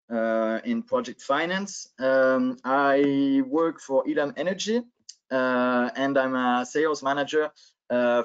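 A man's voice: medium pitch, clean tone. A man in his thirties with a French accent, giving a webinar presentation on a video call through a headset, with many filler sounds. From France. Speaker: guest speaker, sales manager. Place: indoor office, video call. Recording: dull lo-fi video call audio.

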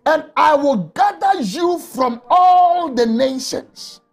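Male pastor, high lively voice, slow gravelly delivery, faint music behind. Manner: impassioned, often shouting and crying out. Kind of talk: emotional sermon. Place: small office, indoors. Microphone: lapel mic.

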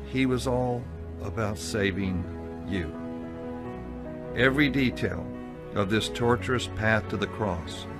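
A man narrating a gospel video in a slow, earnest, scripted way. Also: lowish voice. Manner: slow, earnest, measured delivery. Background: background music.

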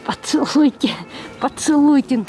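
A woman's voice: high-pitched, a little slow, over music. A woman cooing affectionate baby talk to animals in a repetitive, playful way, filming outdoors on a handheld camera. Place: outdoors beside a zoo lion enclosure. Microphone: handheld camera, speaker behind it.

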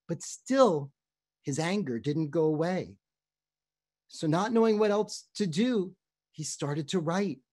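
A middle-aged rabbi telling a story dramatically on a video call from a room at home. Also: male voice, fairly high pitch, darkish tone. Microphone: webcam on video call.

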